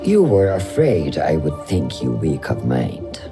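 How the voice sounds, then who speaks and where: An actor playing a stern assassin mentor who speaks in a measured, solemn, instructive way. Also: male voice, extremely low pitch, wildly swooping pitch, over music, exaggerated voice. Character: stern older male assassin mentor, calm and grave. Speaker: mentor of the hidden ones. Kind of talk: game cutscene acting.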